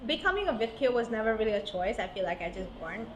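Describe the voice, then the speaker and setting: A young woman from Hungary, a podcast guest, talking in a relaxed, chatty way and laughing easily. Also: on the high side, quick pace, crowd noise. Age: young adult. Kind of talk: casual interview chat. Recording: roomy with clear background noise.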